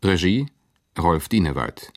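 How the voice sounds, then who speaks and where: A male announcer reads radio drama credits formally. His voice is deep and slow, with measured phrasing in a dry studio sound. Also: animated, darkish tone, no room sound.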